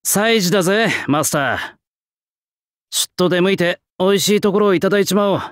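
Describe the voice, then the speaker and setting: An actor playing a proud young male swordsmith spirit in an anime-style game, talking in a rough, blunt, old-fashioned working-class way. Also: sits high, brisk, no room sound, studio quality, natural voice. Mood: proud, upbeat. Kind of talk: anime-style mobile game character lines.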